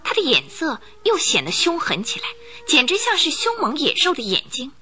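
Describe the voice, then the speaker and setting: A woman's voice: sits high, huge pitch swings, moderate pace, sharp tone. A woman narrating a radio drama in a vivid, dramatic storytelling manner.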